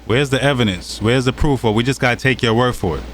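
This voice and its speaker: A man's voice: medium pitch, fast talker. A man from the United States hosting a reaction commentary, mocking and challenging the clips he plays, with faint noise behind him. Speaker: commentary channel host.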